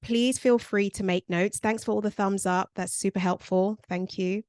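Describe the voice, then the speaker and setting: A woman's voice: medium pitch, fairly even intonation, moderate pace, darkish tone, dry recording, studio quality. A woman, presenting a career workshop over a video call in a conversational way with frequent ums. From born in Nigeria, raised in South London.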